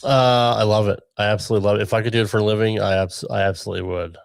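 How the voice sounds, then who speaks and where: Deep gravelly-voiced middle-aged man chatting. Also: rapid-fire, dry recording, clean studio sound. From Connecticut, USA. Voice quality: deep radio voice. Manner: relaxed, chatty, many ums and restarts. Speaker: podcast producer and guest. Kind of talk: casual podcast conversation.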